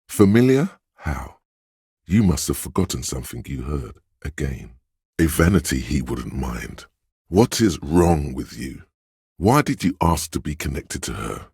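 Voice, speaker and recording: Very deep, husky inner voice. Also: male voice, darkish tone, dry recording, clean studio sound, heavily acted. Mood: pointed, prodding. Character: sly, knowing inner voice reading people and coaxing the hero. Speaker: video game narrator voicing inner thoughts. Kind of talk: scripted dramatic game narration.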